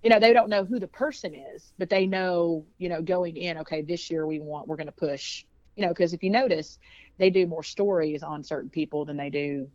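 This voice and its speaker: A woman's voice: fairly low pitch, a little fast, a little mellow, dry recording, muffled recording. A woman, a singer chatting casually in an interview, rambling and laughing often. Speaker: singer and band leader.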